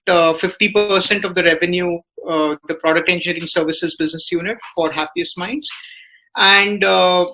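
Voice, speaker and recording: A man with an Indian accent giving analytical podcast commentary as a business reporter, in a hesitant, halting way with many filler words. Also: high voice, bright, forceful tone, poor audio.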